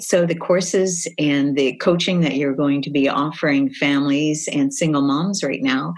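A woman's voice: low-pitched, husky. A woman co-hosting an interview, encouraging her guest and checking in with "right?" as she talks. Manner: warm, encouraging, leading questions with "right?". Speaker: podcast co-host. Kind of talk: casual interview chat.

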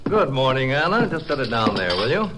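An actor playing an unruffled, suave stock promoter in a radio drama, breezy and dismissive. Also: male voice, mid-range voice, big pitch swings, fairly quick, lightly acted. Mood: breezy, patient. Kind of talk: 1950s radio drama acting. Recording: noisy old radio broadcast recording.

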